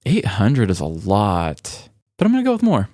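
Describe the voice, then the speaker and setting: A man's voice: fairly low pitch, wildly swooping pitch, moderate pace, darkish tone, no echo, clean studio sound. A man with an American Midwestern accent chatting in a playful, informal way as a podcast co-host. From rural Midwest United States. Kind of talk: casual comedic podcast chat.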